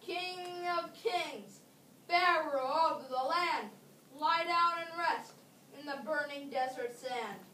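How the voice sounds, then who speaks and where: Female reader as a high-voiced schoolboy, echoey and distant. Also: slow, sharp tone, heavily acted. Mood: solemn chanting. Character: resourceful, excitable schoolboy puzzle-solver. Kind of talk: amateur readers' theater. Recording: distant mic in echoey, slightly noisy room.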